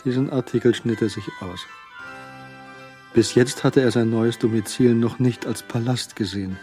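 An actor narrating a radio crime drama in a cool, sly, scripted manner. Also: male voice, medium pitch, fairly even intonation, normal speed, warm tone, natural voice. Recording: studio radio play with music.

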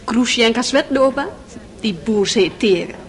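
An actress playing an excitable, catty society gossip in a radio drama, knowing and disdainful. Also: female voice, a little high, a little slow. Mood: excited, catty.

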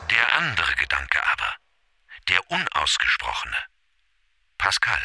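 An actor playing a cryptic announcer who declaims short, ominous quotations in a radio drama, flatly and pointedly. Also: male voice, sits low, measured pace, breathy edge, heavily acted.

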